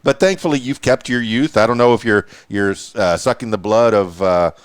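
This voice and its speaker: Man, 50s, podcast host, rambling, stammers, low-ish voice, dry. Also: normal speed, smooth, no echo. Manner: rambling, stammers and repeats words. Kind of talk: casual interview chat.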